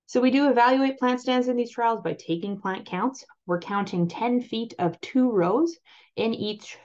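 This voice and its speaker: A woman with a Canadian accent presenting on-farm crop trial results over a slide presentation, explaining them clearly and steadily. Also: medium pitch, a little mellow. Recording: slightly muffled voice-over.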